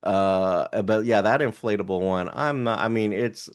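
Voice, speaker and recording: A man's voice: lowish voice, a little slow, clear, resonant tone, dry recording, clean studio sound. A man co-hosting a casual podcast, chatting, joking and reading prices aloud as he browses online shops. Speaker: podcast co-host.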